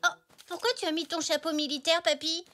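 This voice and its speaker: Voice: very high voice, level tone, brisk, bright, forceful tone, soft background music. A performer voicing a cheerful, curious little boy zebra in a French children's cartoon. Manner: bubbly, inquisitive.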